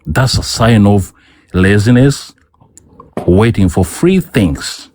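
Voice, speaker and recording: Low-voiced insistent Ugandan man. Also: lively intonation, takes it slowly, darkish tone, slight background noise. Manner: insistent, talks over the other, repeats himself. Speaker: debate guest. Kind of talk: heated online debate.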